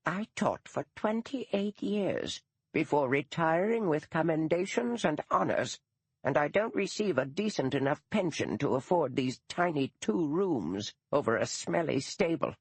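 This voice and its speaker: A man voicing a stern, dour elderly woman, a retired schoolteacher who speaks slowly with prim, precise diction. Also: fairly high pitch, lively intonation, gravelly, slightly rough, no echo, voice pushed up, heavily acted.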